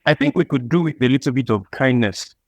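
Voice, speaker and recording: A Nigerian man talks in a reflective, conversational way, like a guest on an interview show. His middle-pitched voice sits in a dry, close sound with very little room around it. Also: expressive, fast, no echo, dull audio. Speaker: comic book creator, interview guest.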